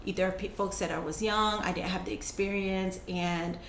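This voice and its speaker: A woman's voice: fairly low pitch, steady tone, moderate pace. A young woman, a guest on an interview show, telling her story in an earnest, conversational way and laughing easily. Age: young adult. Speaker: guest, climate justice program manager. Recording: echoey room with faint noise.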